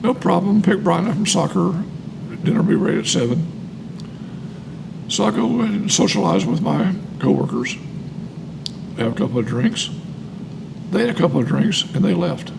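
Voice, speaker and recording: A man's voice: sits high, lively intonation, moderate pace, vocal fry, pauses often, noisy background. An American man reading aloud and sharing personal testimony, emphatic and repeating phrases for weight. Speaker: co-host reading and commenting on a recovery book.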